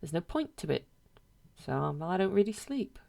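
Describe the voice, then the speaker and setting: A woman performs a male ghost from a storybook. He is low-voiced and matter-of-fact, a weary guide to the afterlife. He speaks cautiously and with a deadpan flatness. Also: moderate pace, creaky, full character voice.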